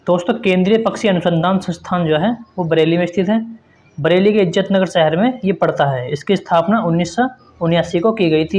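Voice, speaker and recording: A man's voice: high voice, darkish tone, lo-fi sound. A man teaching an exam-prep quiz lesson, reading out questions and explaining answers in an instructive way with repeated emphasis.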